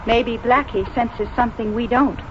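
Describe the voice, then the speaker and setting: An actress in a 1940s radio drama playing a brooding, suspicious young granddaughter with a solemn, intense manner. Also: female voice, fairly high pitch, steady tone, normal speed, background noise, lightly acted. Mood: cold, suspicious. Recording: muffled vintage radio recording, loud music.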